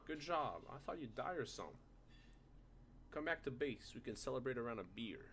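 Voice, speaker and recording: A man's voice: mid-range voice, animated, brisk, a bit bright, a little hiss or hum, pitched above the performer's own voice. A man voicing a casual, congratulatory soldier comrade for an amateur game voice line. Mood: upbeat, congratulatory. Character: casual male soldier comrade praising a kill.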